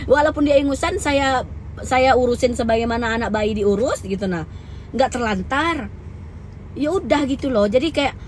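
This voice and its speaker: Young woman vlogger, higher voice, blunt and emphatic venting, faint traffic behind. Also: low-quality recording. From Lampung, Indonesia. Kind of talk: candid storytelling rant.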